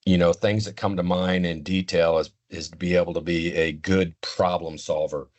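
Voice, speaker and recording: An older man giving unscripted, explanatory interview answers in a deliberate, halting way. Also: low voice, steady tone. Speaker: interview guest, retired law enforcement communications expert.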